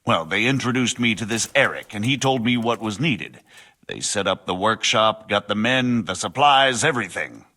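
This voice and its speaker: Game role: shady ruined nobleman, lowish and incisive, animated delivery, worldly, wry, a touch haughty. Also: moderate pace, heavily acted. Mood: wry, confessional. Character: shady fallen nobleman turned counterfeiter, evasive and wry. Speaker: voice actor in a video game. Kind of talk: dramatic video game dialogue.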